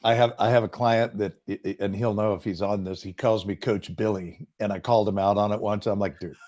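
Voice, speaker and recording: Male, 60s, lowish voice, earnest self-deprecating coach, close boom mic. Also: steady tone, fairly quick, darkish tone. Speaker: business coach guest. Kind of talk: casual interview chat. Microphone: boom microphone.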